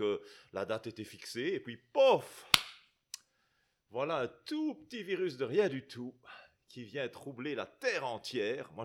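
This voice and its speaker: A middle-aged man from Belgium with a Belgian French accent, preaching to a congregation in a chatty way, often tagging his sentences with questions and restarting them. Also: lowish voice. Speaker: guest preacher. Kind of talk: sermon to a congregation.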